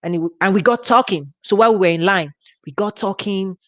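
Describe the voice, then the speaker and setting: Nigerian woman giving a relaxed talk. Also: mid-range voice, fast, dry recording. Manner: conversational, with frequent restarts and laughs. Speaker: ministry host. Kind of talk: informal teaching talk.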